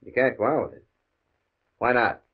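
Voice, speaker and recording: An actor playing a smooth, menacing gambling boss in 1950 radio drama style, mock-polite, controlling and taunting. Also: male voice, mid-range voice, normal speed. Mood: hard, insistent. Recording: muffled old radio recording.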